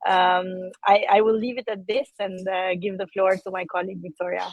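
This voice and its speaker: A woman, telling her career story as an interview guest in a measured way, with frequent filler sounds. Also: mid-range voice, flat delivery, poor audio. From Albania. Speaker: guest speaker.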